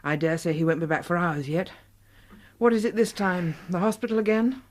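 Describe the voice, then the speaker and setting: An actress playing a bossy, motherly Victorian housekeeper in a British radio drama, with a British accent and a brisk, scolding yet caring manner. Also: on the low side, steady tone, natural voice. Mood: disapproving, caring.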